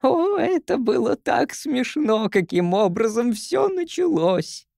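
A man voicing an ancient, tiny, merry female creature who is the first grandmother, in a teasing, giggly, drawn-out manner. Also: very high voice, very animated, creaky, darkish tone, no room sound, clean studio sound, voice pushed up, full character voice. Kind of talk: audiobook character voice.

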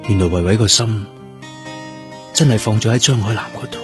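Guy playing grieving hong kong cantonese cop, low subdued voice, radio drama w/ guitar music loud behind. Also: brisk, close to an everyday voice. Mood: brooding. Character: brooding, grieving male police detective haunted by loss. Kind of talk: radio drama acting with first-person narration. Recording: music under the voice.

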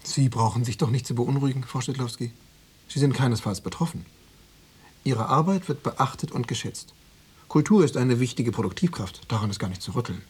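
A man in a radio play acting a smooth, insinuating East German secret police officer, falsely reassuring and bureaucratic. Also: mid-range voice, a little fast, a little mellow, long reverb tail, lightly acted. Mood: smooth, probing.

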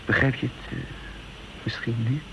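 An actor playing a brooding, guarded undercover patriot who narrates his own story in a deliberate, confiding Dutch radio drama style. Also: lightly acted. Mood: hesitant confiding. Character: brooding undercover patriot man, self-reproaching, tense and guarded. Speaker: narrator and lead character of a radio serial. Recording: dull, noisy old radio recording.

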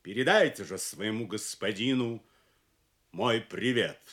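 An actor playing an arrogant, mocking Roman emperor in an audio drama, pompous and boastful. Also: male voice, on the low side, measured pace, a bit bright. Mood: cold, boastful. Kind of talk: Soviet audio drama acting.

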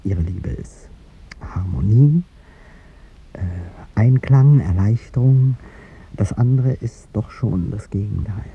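An older man acting in a 1950s German radio drama as a gentle, aging president in love, speaking haltingly and courteously. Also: on the low side, animated, creaky, husky, full character voice. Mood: wistful, pained. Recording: muffled 1950s radio recording, faint noise.

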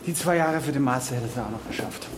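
An actor playing a laconic young pharmacy heir with a dry, teasing manner in a naturalistic radio drama. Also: male voice, mid-range voice, animated, fast talker, natural voice. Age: young adult. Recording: noisy on-location ambience.

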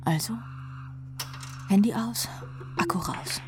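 An actress playing a wry, cool female rock drummer who narrates her inner monologue in a deadpan, breathy half-whisper for a radio play. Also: medium pitch, takes it slowly, music in the background, lightly acted. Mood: dry, resigned. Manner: deadpan, sardonic.

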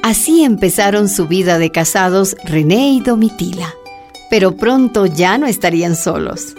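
Lady narrator for a radio play, mid pitch, dramatic storytelling, music clearly behind her. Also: expressive, moderate pace, darkish tone.

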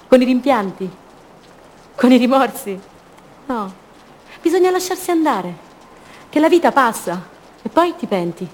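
An actress playing an impulsive, fragile woman madly in love, in an intense, poetic radio theatre monologue full of repeated phrases that swings between rapture and hush. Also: high voice, big pitch swings, unhurried, long pauses. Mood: passionate, defiant.

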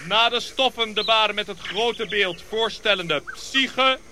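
An actor playing an expedition officer who declaims clipped orders in a radio drama. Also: male voice, high voice, takes it slowly, background noise. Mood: brisk, commanding. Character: commanding expedition officer ordering a procession of bearers.

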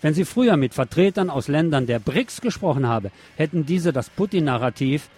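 A man's voice: on the high side, animated, moderate pace, a little mellow, breathy edge. A man hosting a radio show, reading news articles aloud in a sardonic way with mocking asides.

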